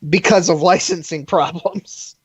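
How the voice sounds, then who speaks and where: A man's voice: high-pitched, steady tone, a little slow, breathy edge, no room sound. A man in his twenties chatting casually and expressively over slightly lo-fi online call audio. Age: 20s. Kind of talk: casual chat.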